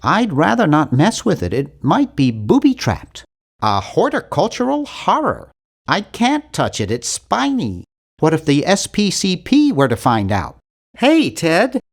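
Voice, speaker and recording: Nerdy young guy with swooping pitch. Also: huge pitch swings, unhurried, vocal fry, exaggerated voice. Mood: matter-of-fact, fussy. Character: nerdy, earnest, awkward young man, adventure game hero. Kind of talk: scripted video game voice lines.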